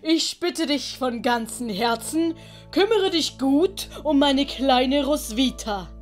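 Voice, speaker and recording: A woman plays an emotional older gentleman in an audio story. The delivery is theatrical and slow, the voice pushed high. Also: faint music behind. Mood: grave, moved. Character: emotional older gentleman, a doting father and uncle.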